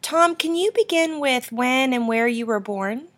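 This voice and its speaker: Brief: woman archive interviewer, slightly high voice, steady and formal. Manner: measured, formal questioning. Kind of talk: oral history interview.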